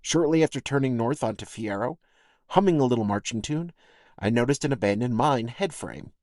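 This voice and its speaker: A man's voice: medium pitch, no echo. Man narrating a personal essay, close dry sound. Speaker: podcast host and essayist reading own essay.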